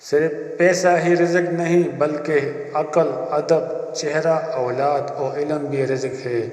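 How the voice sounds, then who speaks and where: A man giving a scripted narration of inspirational spiritual quotes in a solemn, deliberate way with measured pauses. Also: a little high, little pitch movement, darkish tone. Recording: echoey, long reverb.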